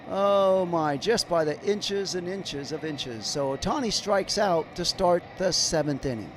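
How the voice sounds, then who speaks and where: A slightly hoarse man doing live play-by-play commentary of a baseball game, calling each pitch as it happens. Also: animated, measured pace, a little hiss or hum. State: slightly hoarse, clearing throat.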